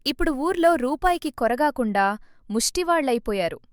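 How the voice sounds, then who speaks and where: A woman voicing a spiteful middle-aged village woman who mocks her neighbours loudly. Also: sits high, lively intonation, fairly quick. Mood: sneering. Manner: loud, sneering, taunting.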